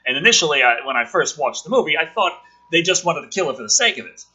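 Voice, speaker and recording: A man with a rough voice reading and criticizing a film script, exasperated, sweary and incredulous. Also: on the high side, big pitch swings, fast talker, pushed and bright, low-quality recording. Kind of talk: script reading with ranting commentary.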